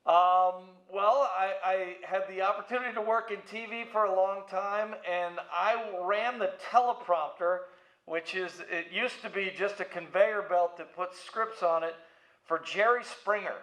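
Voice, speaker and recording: A man's voice: high voice, unhurried, fairly bright tone. A middle-aged American man giving casual, playful interview answers in an anecdotal, storytelling way. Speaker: museum president and CEO, interview guest. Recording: echoey room, slightly dull audio.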